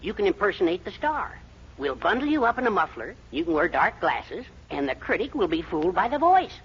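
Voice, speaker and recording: High-pitched, fussy, blustering American husband. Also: male voice, brisk, husky, exaggerated voice. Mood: eager scheming. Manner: excitable, blustering, hesitant stammers. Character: fussy, henpecked middle-aged husband, eager bumbling schemer. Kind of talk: 1940s radio comedy acting. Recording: dull, noisy 1940s radio recording.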